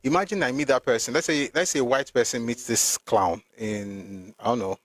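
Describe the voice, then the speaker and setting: A man's voice: mid-range voice, normal speed, dry recording. A middle-aged man with a Nigerian accent, hosting an emphatic, argumentative opinion monologue with frequent restarts. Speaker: host.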